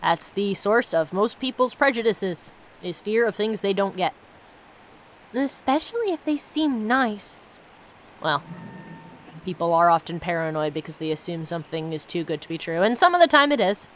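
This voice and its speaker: A woman's voice: medium pitch, animated, normal speed, a little hiss or hum. A woman giving casual, reflective commentary as she plays a game and reads its story aloud. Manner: musing, reflective. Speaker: let's play host.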